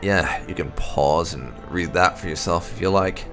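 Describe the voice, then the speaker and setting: A man hosting a gaming channel, reading fantasy game lore aloud in a measured storytelling way. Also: deep voice, fairly bright tone. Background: background music.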